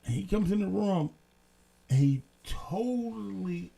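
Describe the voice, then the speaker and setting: A man co-hosting a casual late-night talk show, telling stories in an animated way and swearing freely. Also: high-pitched, slow pace, creaky. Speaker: co-host.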